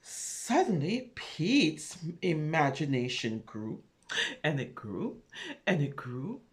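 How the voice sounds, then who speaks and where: Animated woman reading to preschoolers, unhurried pace. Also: deep voice. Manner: expressive, wondering, talks directly to young listeners, asking questions. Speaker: children's storyteller. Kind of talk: animated picture-book read-aloud for preschoolers, with chatty asides.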